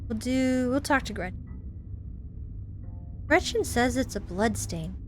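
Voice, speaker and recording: A woman reading a visual novel aloud as a gaming host, in an expressive, theatrical way. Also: on the high side, measured pace. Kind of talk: playthrough reading, narrating and voicing visual novel dialogue with reactions. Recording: music behind the voice. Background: game music.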